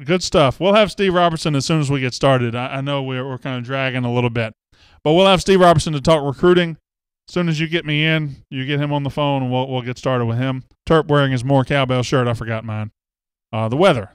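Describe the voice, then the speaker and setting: A man's voice: on the high side, clear, resonant tone, dry recording, studio quality. A man hosting a casual live show, chatting and reading viewer comments with self-mocking jokes. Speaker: host. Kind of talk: casual live-stream chat.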